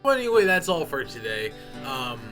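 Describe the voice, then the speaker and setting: A man's voice: mid-range voice, very animated, moderate pace, over music. A man giving a casual, unscripted update as a channel host, talking informally in a folksy way and addressing viewers as y'all.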